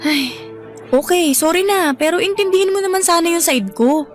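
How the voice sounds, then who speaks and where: An actress playing a hurt, needy young lover with a Filipino accent, pleading in a radio drama. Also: female voice, very high voice, normal speed, over music. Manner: pleading, plaintive. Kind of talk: Tagalog radio drama acting.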